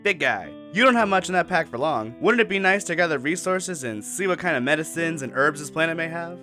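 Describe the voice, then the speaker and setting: A man's voice: a little high, animated, a little fast, pushed and bright. A man playing a brash, persuasive space crewman in a radio drama, pushy and restless, with music behind him. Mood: cajoling, defiant. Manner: pushy, cajoling.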